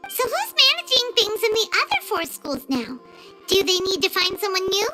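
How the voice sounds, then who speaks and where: A woman voicing a small, childlike fairy companion, perky, curious and excitable, with a chirpy video game delivery. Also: normal speed, loud music behind the voice. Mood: curious, chatty. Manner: chirpy, squeaky, eager.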